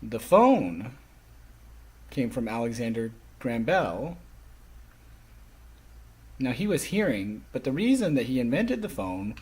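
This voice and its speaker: A man speaking a lecture to a class, explaining things in halting, phrase-by-phrase delivery. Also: mid-range voice, very animated, darkish tone, slightly rough.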